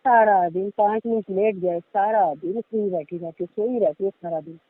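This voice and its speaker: A woman's voice: medium pitch, normal speed, dry recording, natural voice. A young woman playing a married daughter who chats and complains casually in a staged phone conversation. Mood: aggrieved. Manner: complaining, chatty. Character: aggrieved young married daughter confiding in father. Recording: tinny, dry phone-call audio.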